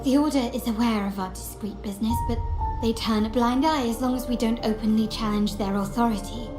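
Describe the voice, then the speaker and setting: A woman acts out a young heiress of a powerful merchant family in a video game. Her voice sits fairly high and she speaks formally, with measured composure. The sound is roomy, with music clearly behind her. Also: fairly even intonation, close to an everyday voice. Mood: composed, explanatory. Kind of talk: scripted video game voice acting.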